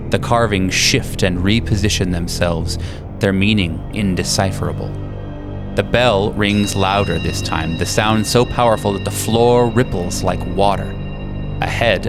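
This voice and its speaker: Low-voiced man narrating horror over music. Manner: deliberate, ominous storytelling. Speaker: game master. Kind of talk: scripted horror narration in second person.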